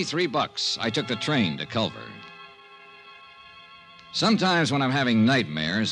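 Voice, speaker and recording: A man's voice: medium pitch, sharp tone. An actor playing a terse, dogged insurance investigator narrating his case in a 1950s radio drama. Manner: clipped, laconic. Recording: 1950s radio recording with music.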